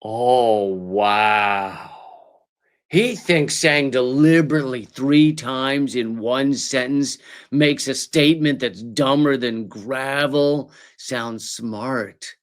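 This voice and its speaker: A man hosting a political comedy show, delivering sarcastic, mocking commentary with shouted bits. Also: mid-range voice, takes it slowly. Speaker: host.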